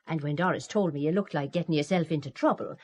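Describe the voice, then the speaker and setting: A woman's voice: low voice, fast, darkish tone. A woman playing a bossy, blunt middle-aged Irish aunt in a radio spy drama. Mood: bossy, affectionate.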